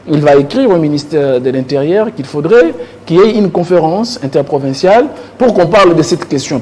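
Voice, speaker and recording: A man's voice: on the high side, dark tone. A man with a Congolese accent giving political commentary as a panelist, repeating words as he searches for phrasing. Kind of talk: political debate commentary in French. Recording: clearly noisy studio recording.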